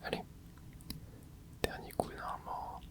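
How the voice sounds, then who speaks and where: A man whispering gameplay commentary. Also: unhurried. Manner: soft whispering, casual gamer slang, talks to himself while playing. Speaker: ASMR gaming channel host.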